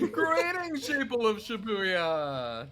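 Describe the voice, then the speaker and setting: A man voicing a loud, cocky villain boss who taunts his rivals in a hobby game fandub. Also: sits high, very noisy, pitched above the performer's own voice, exaggerated voice. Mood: gleeful, taunting.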